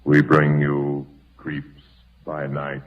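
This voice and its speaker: A man's voice: very deep voice, completely flat delivery, drawn-out words. A man announcing in 1940s radio style, solemn, formal and dramatic, reading a scripted introduction. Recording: tinny, band-limited vintage radio broadcast. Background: dramatic music.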